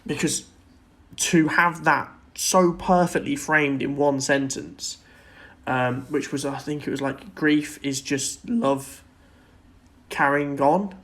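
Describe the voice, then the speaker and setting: A man's voice: a little high, slow pace, fairly bright tone. A man co-hosting a podcast, talking casually and enthusiastically in loosely worded thoughts about film and TV. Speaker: podcast co-host.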